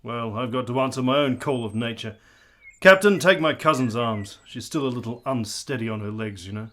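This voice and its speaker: Male actor as breezy, patronising gentleman, mid-pitched, period radio play, faint noise behind. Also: fairly quick, close to an everyday voice. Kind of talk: period radio drama acting.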